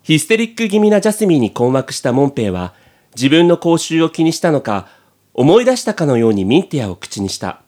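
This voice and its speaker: A man's voice: fairly high pitch, very animated, brisk, a little mellow. A man reading scripted narration for a comic radio drama.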